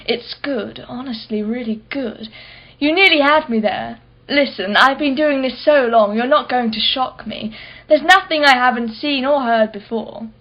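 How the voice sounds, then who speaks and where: A woman's voice: high voice, highs cut off. An actress playing a wealthy, condescending and sardonic female art collector in a radio play. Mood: knowing. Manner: condescending, mocking, self-satisfied.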